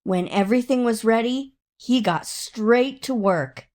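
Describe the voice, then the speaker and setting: Slow, higher-voiced woman reading a children's story. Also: darkish tone. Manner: dramatic, with drawn-out emphasis and acted sound words. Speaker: storybook reader. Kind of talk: expressive children's read-aloud narration.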